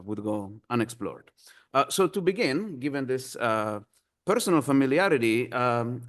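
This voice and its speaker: A man's voice: mid-range voice, a bit bright, clean tone. A man moderating a panel conversation, speaking hesitantly with many "uh"s.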